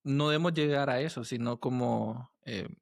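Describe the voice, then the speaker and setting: A man hosting a podcast in casual conversation, speaking haltingly as he searches for his words. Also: mid-range voice, creaky, no echo, studio quality. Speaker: podcast host.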